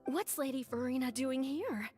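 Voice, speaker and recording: An eager, friendly young theatre performer from a video game. The voice is high and earnest, over faint music. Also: female voice, lively intonation, moderate pace, darkish tone. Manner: grateful, earnest. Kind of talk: video game character acting.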